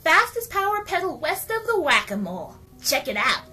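A woman's voice: high voice, sing-song, moderate pace, pushed and bright, exaggerated voice. A woman voicing a scrappy little outcast girl racer in an amateur cartoon fan dub, chirpy and defiant. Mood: proud, chirpy. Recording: music behind the voice.

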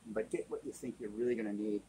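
A man's voice: on the low side, fast talker, dark tone, slight background noise, poor audio. A man in his fifties, host of a sailing channel, casually explaining tools in a plain, practical way with frequent fillers, recorded on a webcam in a cluttered indoor workshop.

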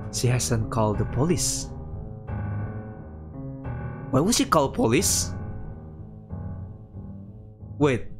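A man streams a game with faint guitar music behind him. His voice sits at an average pitch and he takes his time, at a slightly unhurried pace. He moves haltingly between reading story text aloud and casual commentary. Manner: halting, breaks off to react to the story.

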